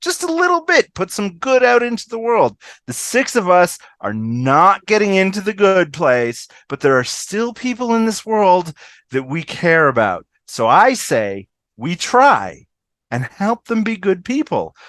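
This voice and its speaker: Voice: medium pitch, very animated, unhurried, fairly bright tone, no room sound. An amateur actor on a video call, reading the part of a blunt, irreverent woman who rallies doomed friends. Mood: rallying. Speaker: amateur table-read actor. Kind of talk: fan table read of TV comedy script.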